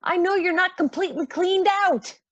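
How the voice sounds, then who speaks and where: A woman's voice: extremely high pitch, normal speed, no room sound, dull audio. A woman playing a generous mother of teenage girls in a radio play. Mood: desperate, indignant.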